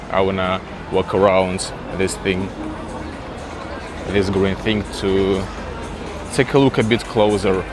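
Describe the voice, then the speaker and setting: Young man, slow easygoing chat, music playing behind. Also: on the low side. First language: Russian. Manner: chatty, curious, exclaims at things, many "like" fillers. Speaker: vlogger. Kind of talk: casual walking vlog for English learners. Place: city streets outdoors and inside a small shop.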